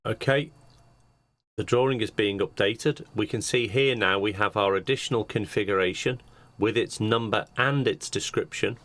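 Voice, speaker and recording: A man giving an unscripted software walkthrough, explaining each step methodically as a demonstrator. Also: on the low side, moderate pace. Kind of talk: screen-share tutorial narration, unscripted walkthrough. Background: computer keyboard typing.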